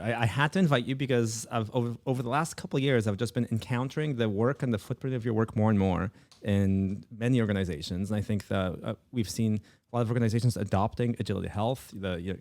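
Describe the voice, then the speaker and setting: Fast-talking low-voiced male podcast host. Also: a little mellow. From Poland. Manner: fast-talking, frequent restarts. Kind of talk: interview podcast.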